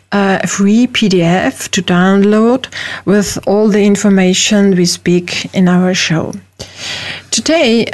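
Woman, Austrian German accent, radio host, slow deliberate delivery, mid-pitched voice, pauses and fillers. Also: a little mellow. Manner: slow, careful non-native English with hesitations. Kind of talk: radio talk show.

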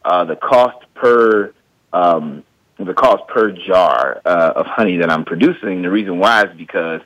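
Relaxed Midwestern man with a very low voice, thinks out loud as a guest, dry close recording. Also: measured pace, no room sound, dull audio. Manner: relaxed, thinks aloud with frequent hedges. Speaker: guest beekeeper and small business owner. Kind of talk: casual interview answers.

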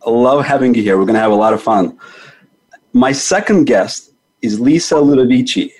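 A man with a husky, slightly breathy voice hosts a health talk show. His pitch sits right in the middle for a man. He reads a prepared introduction and leans on important figures by saying them twice. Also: expressive, moderate pace, darkish tone. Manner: emphatic, repeats key figures and words for stress. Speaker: host. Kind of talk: scripted show introduction and interviewing.

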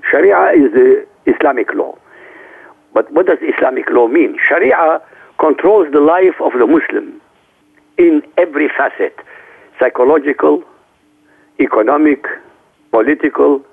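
A man's voice: mid-range voice, gravelly, breathy edge. A middle-aged man from Iraq, a native Arabic speaker, speaking emphatically in a lecturing way as a radio talk show guest. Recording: tinny phone line.

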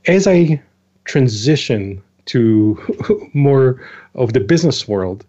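An older man speaks as a podcast guest, recounting his life at an easy pace with many small fillers and laughs. His voice sits at an ordinary middle pitch, heard through somewhat dull, lo-fi audio. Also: takes it slowly. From Israel. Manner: relaxed storytelling with frequent fillers and small laughs. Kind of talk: interview conversation.

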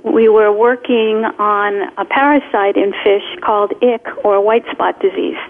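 A woman's voice: a little high, slight background noise. A woman whose first language is Polish, a retired professor explaining her research as a guest in an interview. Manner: explanatory, measured lecturing. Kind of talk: radio interview answers. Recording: tinny phone line.

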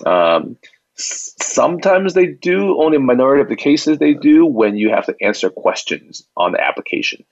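A man speaking as an interview guest, explaining his product conversationally in a halting way with repeated words. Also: a little high, very animated, gravelly, no echo. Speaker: company founder and CEO, interview guest. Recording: dull lo-fi band-limited audio.

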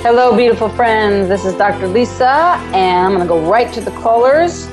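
A woman's voice: on the high side, expressive, normal speed, music in the background, low-quality recording. A woman hosting a radio call-in show, coaching a caller in an encouraging, affirming way with probing questions. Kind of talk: live coaching conversation with a caller.

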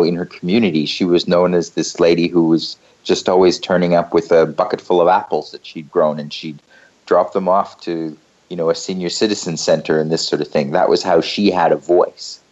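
A man hosting a talk show, speaking in a relaxed, rambling way and often repeating phrases. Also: deep voice, muffled recording. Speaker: host.

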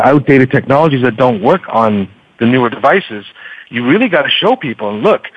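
A man speaking as a podcaster guest in a radio interview, talking conversationally and haltingly, often repeating words as he forms his thoughts. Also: medium pitch, husky, no echo. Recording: slightly dull, lo-fi radio sound.

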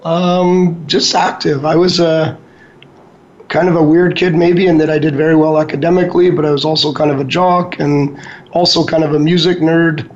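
A middle-aged man answers questions about his life in a fairly high voice. He sounds relaxed and self-deprecating. The audio is muffled and lo-fi, with a faint hiss underneath. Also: moderate pace. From Calgary, Canada. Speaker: architect, interview guest. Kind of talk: casual interview. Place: office boardroom.

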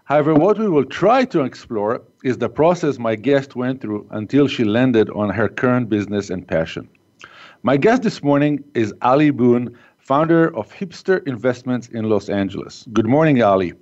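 A man's voice: mid-range voice, animated, a little mellow. Hesitant male host, muffled sound. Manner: halting, many fillers and restarts. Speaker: podcast host. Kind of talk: interview hosting. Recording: muffled lo-fi audio.

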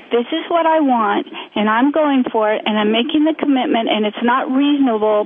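A woman co-hosting a call-in radio show, speaking earnestly and encouragingly to listeners and callers. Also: high-pitched. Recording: tinny phone-line sound.

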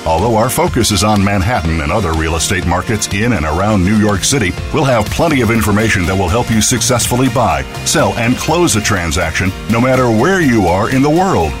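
A man reading a scripted radio promo in a smooth, measured way. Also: on the low side, lively intonation. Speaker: promo announcer. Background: music bed.

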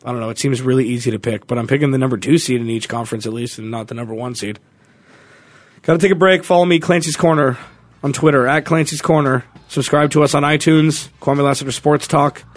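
A man's voice: mid-range voice, steady tone, fast, dry recording. A man hosting a sports talk radio show, chatting casually with opinions and small self-corrections.

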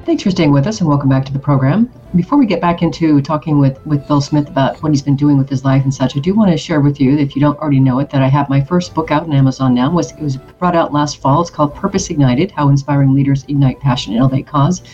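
A woman hosting a talk radio show, moving quickly through plugs and segues to her guest. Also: low voice, mellow, lo-fi sound, soft background music. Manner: quick, promotional, smooth segues.

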